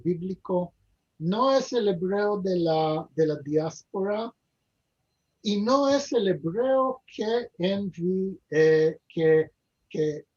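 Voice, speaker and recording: A man's voice: fairly high pitch, darkish tone. A man speaking with an Israeli-tinged accent as a guest in an online discussion, hesitant and searching for his words, with many fillers. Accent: Israeli-tinged Ladino. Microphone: video call. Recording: dull lo-fi video call audio.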